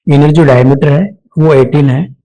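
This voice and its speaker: A man with an Indian accent narrating a step-by-step software tutorial, explaining each action aloud as an instructor. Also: a little high, steady tone, normal speed, low-quality recording.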